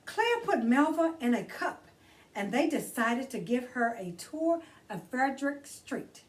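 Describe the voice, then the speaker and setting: A woman's voice: sits high, big pitch swings, a little slow, recorded from a distance. A woman hosting a children's storytime, reading a storybook aloud expressively and addressing young listeners directly in a theatrical way.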